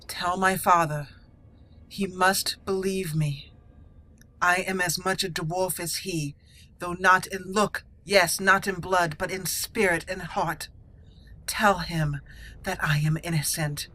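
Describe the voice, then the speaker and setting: A woman acts out a proud young dwarven noblewoman in exile for a game roleplay. She speaks formally and dramatically, with solemn defiance in a bright, intense voice. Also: fairly low pitch, steady tone, slow, exaggerated voice. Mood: pleading.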